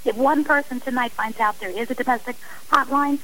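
A woman's voice: fairly high pitch, fairly quick, sharp tone, poor audio. A middle-aged woman giving earnest, passionate interview answers as a radio guest, speaking in long emphatic appeals. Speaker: radio interview guest, advocate against domestic violence. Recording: hissy radio interview audio.

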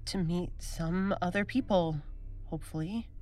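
Woman acting lonely space traveller, slightly low voice, very slow, halting, anxious, subdued, naturalistic audio drama. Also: warm tone, soft background music, close to an everyday voice. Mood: anxious, uncertain.

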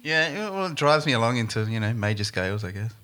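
A man's voice: mid-range voice, big pitch swings, fast talker, pushed and bright. A man, a musician guest, chatting casually with a self-deprecating, laughing manner. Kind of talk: casual chat.

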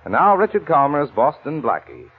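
A man's voice: a little high, huge pitch swings, normal speed, slightly rough, exaggerated voice. A man announcing a 1940s radio show in a dramatic, declamatory style. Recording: tinny old radio recording with music.

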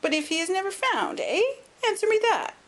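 A woman voicing a miserly, querulous little old lord in an audiobook, sharp and crackling, with a quick, indignant intensity. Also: very high voice, very animated, voice pushed up, exaggerated voice. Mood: suspicious, demanding. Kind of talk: audiobook character acting.

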